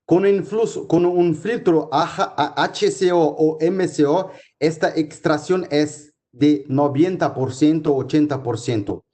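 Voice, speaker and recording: Guy w/ giving a medical lecture, kinda high but mellow voice, lots of stops and restarts. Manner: halting, many restarts and fillers while explaining slides. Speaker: physician lecturer.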